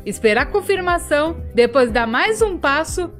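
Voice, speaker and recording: Female actor for a chatty Brazilian animated storyteller: high, very animated delivery, comic self-mocking asides, music underneath. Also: normal speed. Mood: chatty, wry. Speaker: narrator of an animated storytime video. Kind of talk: animated storytime narration, Brazilian Portuguese fan dub.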